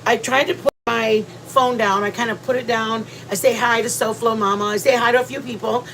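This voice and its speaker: Woman, congested, casual livestream host, rambling, slightly high voice, faint background noise. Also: fairly even intonation, brisk, a bit bright, poor audio. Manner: rambling and chatty, with many restarts. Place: at home, near the kitchen.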